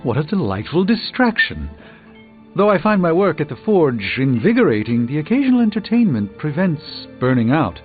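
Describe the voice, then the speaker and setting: An actor playing a proud, weary middle-aged sorcerer in a video game, speaking in measured, erudite, dryly wry phrases. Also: male voice, on the high side, sing-song. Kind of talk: theatrical video game character dialogue. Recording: muffled game audio under music.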